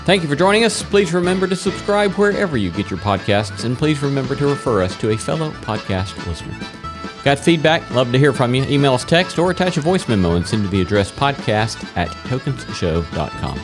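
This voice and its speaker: A man's voice: medium pitch, sing-song, fairly quick. A man hosting a podcast, reading scripted closing credits. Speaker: podcast host. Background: acoustic guitar music.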